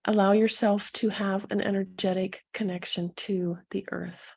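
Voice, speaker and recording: Husky, creaky woman guiding meditation. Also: medium pitch, flat delivery. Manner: soothing, gentle, unhurried instruction with pauses. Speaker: meditation coach and guide. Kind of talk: guided meditation, addressing listeners directly.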